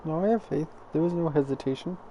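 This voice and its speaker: A man's voice: a little high, quick pace, warm tone, muffled recording, slight background noise. A man giving casual play-along commentary as he streams a story game.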